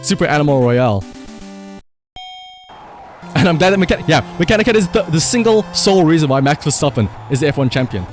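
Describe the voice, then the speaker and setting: Male livestream gamer, slightly high voice, very lively intonation, casual and sweary, excitable. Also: brisk, pauses often, faint music behind. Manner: excitable, emphatic, shouts at the game, swears. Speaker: live streamer. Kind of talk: casual livestream gaming commentary.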